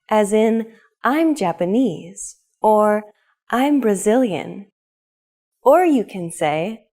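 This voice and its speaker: A woman presenting a scripted English lesson, clearly and brightly modeling example phrases for learners. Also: medium pitch. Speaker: English teacher.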